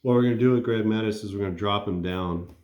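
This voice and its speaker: Low-voiced man calling a dice baseball game. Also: fast talker, roomy sound, dull audio. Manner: relaxed and conversational, thinking aloud while rolling. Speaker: tabletop game player narrating a replay.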